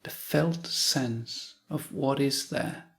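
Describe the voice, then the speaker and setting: Slow, calm man guiding meditation. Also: medium pitch, gravelly. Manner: slow, calm phrases with long pauses. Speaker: meditation teacher. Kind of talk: guided meditation.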